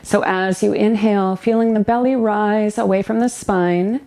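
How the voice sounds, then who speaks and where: A woman with a mid-pitched voice narrates a guided relaxation practice. She gives gentle, soothing instructions in the manner of a meditation teacher, leaving unhurried space between her phrases. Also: fairly even intonation. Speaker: yoga nidra relaxation guide, therapist.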